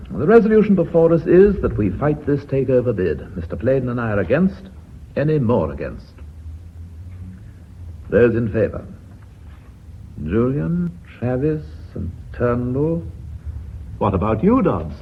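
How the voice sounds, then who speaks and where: Formal English chairman, muffled old radio. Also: mid-range voice. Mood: brisk, formal. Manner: formal, measured, courteous. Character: blunt, authoritative company chairman, formal and dutiful. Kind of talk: BBC radio drama acting. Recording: muffled phone-like old radio recording, hiss.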